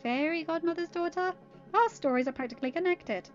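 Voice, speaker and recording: A woman's voice: very high-pitched, fairly quick, highs cut off, faint music behind, voice pushed up. A woman voicing a sweet, chatty teenage girl in an amateur storybook reading. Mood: friendly.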